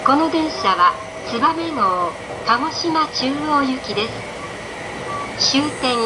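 A woman with a high voice reads a scripted train announcement. Her delivery is formal, polite and measured. She comes over a carriage loudspeaker, with heavy train rumble all around. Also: expressive. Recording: train cabin PA under loud rail noise. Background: loud train rumble.

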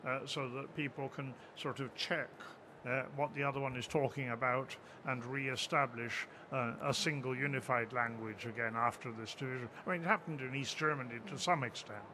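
An older man on a panel, speaking deliberately with uhs at a table microphone. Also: medium pitch, steady tone, background noise. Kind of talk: panel discussion. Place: bookfair panel stage with an audience, indoors.

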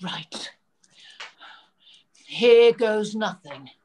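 An older woman playing a bumbling, dramatic pantomime Prince Charming in a theatrical, deliberate manner over a video call. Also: medium pitch, darkish tone, slight background noise. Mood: exasperated. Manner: theatrical, alliterative, deliberate delivery.

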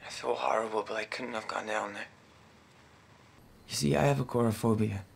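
An actor playing a defensive, anxious, reclusive programmer in a naturalistic drama, earnest and gravelly. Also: male voice, on the low side, moderate pace, slight background noise.

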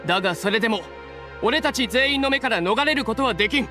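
An actor playing a vigilant, determined young citizen on watch in a Japanese video game, serious and assertive. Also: male voice, extremely high pitch, animated, fast, over music. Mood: watchful.

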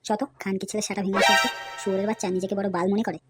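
A woman's voice: mid-range voice, flat delivery, very fast, lightly acted. A woman voicing a hot-tempered, foul-mouthed male village club leader who barks abuse and orders. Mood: angry. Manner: shouting abuse, bossy. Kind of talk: Bengali comedy cartoon dubbing. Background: background music.

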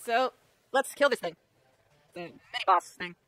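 A woman chats her way through a video game, her voice a little raspy and strained. She talks at a middling pitch with quick asides to herself and the viewers. Faint game music plays behind her. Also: animated, fairly bright tone, no echo. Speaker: gamer playing a game on video. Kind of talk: casual let's play commentary.

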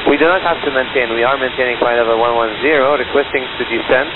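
A man's voice: mid-range voice, quick pace. Airline pilot on tinny, noisy radio, male. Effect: aviation radio. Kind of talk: air traffic radio communication. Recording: noisy narrowband cockpit radio transmission.

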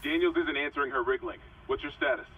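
Man playing a fast, stern squad leader. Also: fairly high pitch, far from the mic, phone-quality sound, noisy background, lightly acted. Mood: urgent, commanding. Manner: brisk, commanding. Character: stern, protective squad leader giving orders. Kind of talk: game radio dialogue.

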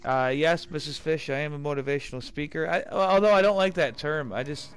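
A man's voice: a little high, a little fast, smooth. A man hosting a casual gaming stream, chatting with friends in a rambling, self-correcting way and laughing easily. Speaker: streamer and host.

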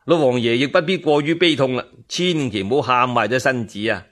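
A man's voice: mid-range voice, a little fast, fairly bright tone, close to an everyday voice. Man playing a scheming, controlled Cantonese emperor. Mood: falsely genial. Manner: smooth-talking, controlled. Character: scheming new emperor, outwardly polite, calculating.

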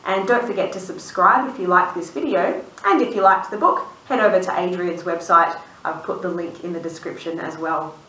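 A woman in her thirties reading a picture book aloud to young children, expressive and sing-song, drawing out words and putting questions to the listener. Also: on the low side, moderate pace, few pauses. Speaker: children's storyteller and channel host. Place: indoors in a room, seated before a dark backdrop. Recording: roomy, distant microphone.

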